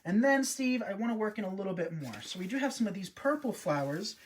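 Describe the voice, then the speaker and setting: A man's voice: sits high, expressive, fast talker, husky. A man hosting a live wreath-making stream, chatty and upbeat, often talking to his viewers as he works. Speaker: host, wreath designer. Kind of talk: live craft demonstration.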